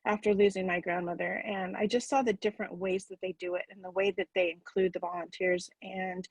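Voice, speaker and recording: A woman volunteer giving an informal news interview, rephrasing haltingly as she goes. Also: medium pitch, flat delivery, slightly rough, no echo, rough recording. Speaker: charity volunteer.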